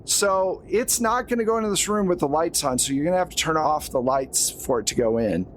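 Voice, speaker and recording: A man's voice: on the high side, expressive, fairly quick, slight background noise. A man narrating a horror tabletop game as game master, in a casual, conversational storytelling way.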